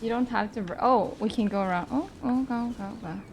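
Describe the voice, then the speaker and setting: A woman's voice: fairly high pitch, brisk, slight background noise. A woman giving casual live commentary as she streams a game, exclaiming and muttering repeated words to herself. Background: game music.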